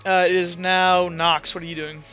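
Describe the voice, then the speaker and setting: A man's voice: high-pitched, little pitch movement, moderate pace, bright, forceful tone, lo-fi sound. A man working as game master, narrating and refereeing an online tabletop game in a casual, profane way. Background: music.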